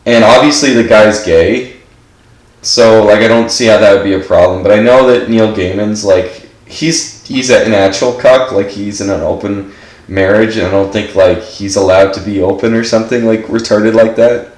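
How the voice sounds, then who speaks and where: A man's voice: lowish voice, moderate pace, roomy sound, low-quality recording. A man giving casual gameplay commentary while stuffed up from allergies, rambling and filling his speech with "like". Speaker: game streamer.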